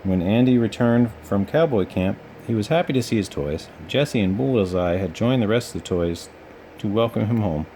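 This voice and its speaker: A man's voice: lowish voice, expressive, moderate pace, mellow, slight background noise, low-quality recording. A man narrating a children's storybook as a read-aloud storyteller.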